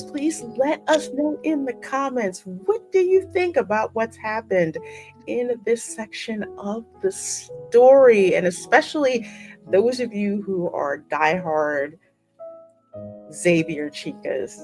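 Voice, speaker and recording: A woman hosting a gaming channel, chatting casually and effusively, repeating words for emphasis. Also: on the low side. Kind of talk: casual gaming commentary and chat. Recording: music playing behind the voice. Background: music.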